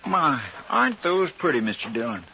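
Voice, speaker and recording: An actor playing an earnest, naive deputy sidekick with a rural American drawl, chatty and deferential, in old radio western style. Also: male voice, a little high, sing-song, moderate pace, vocal fry, highs cut off. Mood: chatty, wistful. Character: earnest, simple, folksy deputy sidekick Chester. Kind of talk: 1950s radio western acting. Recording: noisy vintage radio recording.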